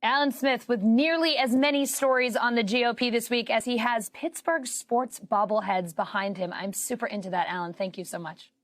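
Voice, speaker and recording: A woman's voice: fairly high pitch, steady tone, moderate pace, no room sound. An American woman working as a news anchor, presenting stories and putting questions in a conversational broadcast style.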